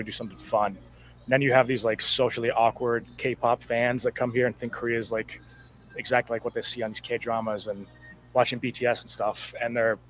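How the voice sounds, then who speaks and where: A man's voice: medium pitch, a little hiss or hum. A young man, talking in a casual, chatty way with lots of fillers. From Texas, United States. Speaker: interviewee, English teacher.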